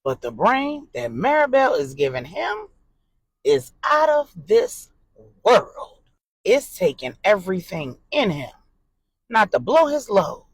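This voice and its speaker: A woman narrating an adult drama story in an expressive, suspenseful way and voicing the characters' dialogue. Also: mid-range voice, takes it slowly, breathy edge, no echo.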